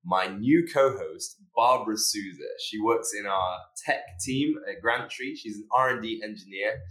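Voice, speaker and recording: A man hosting a podcast, talking in a measured, self-deprecating way and often repeating words as he goes. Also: lowish voice, fairly bright tone, echoey room, rough recording. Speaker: podcast host. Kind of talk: podcast chat.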